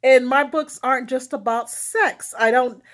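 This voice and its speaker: A woman author speaking candidly and informally to an audience, expressive and emphatic, repeating key words for effect. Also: sits high, a little slow. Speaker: erotic romance author promoting their own book.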